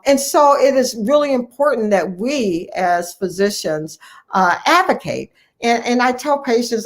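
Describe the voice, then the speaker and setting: An American woman, a physician guest, giving earnest, emphatic and conversational answers in an interview. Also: medium pitch. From United States, Ohio. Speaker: physician guest and medical association leader.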